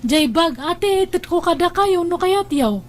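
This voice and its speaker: A woman plays a young working daughter in an Ilocano audio drama, with a very high voice. She has an Ilocano Filipino accent and an offhand, self-indulgent manner. Her talk is dotted with drawn-out hums and casual brush-offs. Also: animated. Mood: breezy, dismissive.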